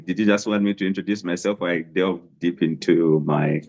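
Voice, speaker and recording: Very deep man over dull call audio. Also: normal speed, gravelly, darkish tone. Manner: formal, slightly unsure of the format. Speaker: researcher and panelist. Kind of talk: panel self-introduction.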